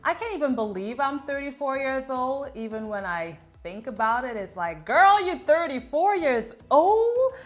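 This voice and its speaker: A woman's voice: high voice, a little slow. A woman in her thirties from Trinidad and Tobago, chatting casually and playfully as she answers get-to-know-me questions. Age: 30s. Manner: expressive, playful, reads questions aloud then answers. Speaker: beauty vlogger. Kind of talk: casual Q&A vlog.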